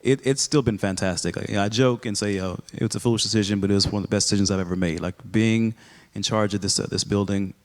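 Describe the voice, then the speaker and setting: A man from Texas, a high school principal, chatting casually in an interview with a joking, self-deprecating manner. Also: steady tone, fast, few pauses. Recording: roomy hall echo.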